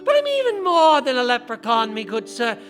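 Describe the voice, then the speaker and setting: A coaxing little leprechaun vampire with a lilt, put on by a woman storyteller. The voice sits raised and bright, sweet-talking and a touch boastful, with faint music underneath. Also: fairly high pitch, wildly swooping pitch, a little fast. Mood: wheedling. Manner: wheedling, coaxing, a bit boastful. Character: mischievous tiny male leprechaun vampire.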